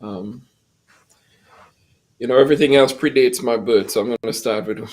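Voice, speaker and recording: A man in his fifties, from Trinidad and Tobago, speaks as a podcast guest. He tells his story in an earnest, grateful way and keeps a measured, reflective pace. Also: mid-range voice, a little mellow. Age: 50s. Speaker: guest, music school founder. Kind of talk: personal story in an interview.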